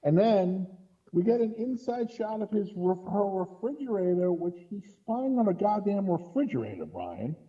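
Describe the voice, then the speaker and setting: A man's voice: sits high, very animated, normal speed, highs cut off. A man giving casual, critical film commentary on a podcast in an exasperated way, often checking his points with a questioning tag. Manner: exasperated, punctuates points with "right?". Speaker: podcast co-host or guest critic.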